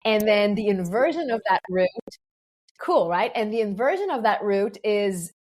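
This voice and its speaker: A woman's voice: mid-range voice, big pitch swings, normal speed, a little mellow, rough recording. An Israeli-accented woman, a native Hebrew speaker, talking as a podcast guest. She explains her ideas patiently and earnestly and builds them around analogies. Her phrasing restarts often and is sprinkled with "right?". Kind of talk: explanatory interview talk.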